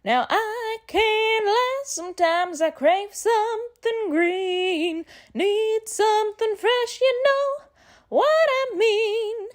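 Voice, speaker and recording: A woman's voice: extremely high pitch, slow, faint music behind. A woman singing a playful a cappella song as a student musician.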